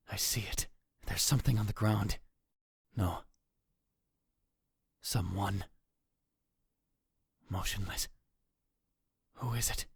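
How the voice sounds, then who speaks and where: A man's voice: on the low side, dry recording, studio quality. A man voicing a young male student shaken by a murder, narrating a visual novel in a tense first-person inner monologue that often drops to a whisper. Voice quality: husky. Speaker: narrator and lead voice in a fan dub.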